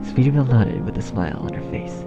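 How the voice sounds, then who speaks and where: A man's voice: medium pitch, animated, quick pace, gravelly. A man reading scripted story narration as the narrator. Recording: dull, muffled sound under music. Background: music.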